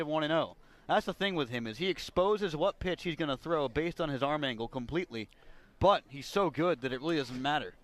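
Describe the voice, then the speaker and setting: A man works as a baseball announcer, calling the action live for radio. His voice is bright and forceful and sits a little high. He describes each play as it unfolds in a play-by-play style.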